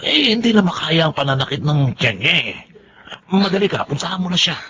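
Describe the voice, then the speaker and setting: A folksy, concerned Filipino pal in a radio drama. His voice is bright, forceful and very animated, with faint ambient noise behind it. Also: fairly high pitch, fairly quick. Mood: concerned, urgent. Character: chatty, concerned male jeepney-terminal buddy.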